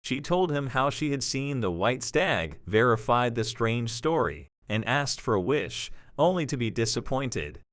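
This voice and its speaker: Expressive male story narrator. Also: mid-range voice, unhurried, few pauses, no echo, studio quality.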